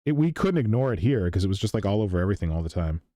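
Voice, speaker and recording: A man hosts a hobby livestream and banters in a chatty, dry-humored way. His voice is mellow and lively in pitch. It is recorded close with no room echo. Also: rapid-fire. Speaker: livestream host. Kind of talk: casual chat while crafting. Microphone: laptop.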